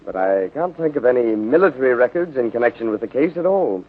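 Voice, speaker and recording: Man as quick-talking mystery sleuth's associate, old radio. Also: medium pitch, expressive, natural voice. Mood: thoughtful. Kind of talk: 1930s radio drama acting. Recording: muffled, narrow-band 1930s radio recording.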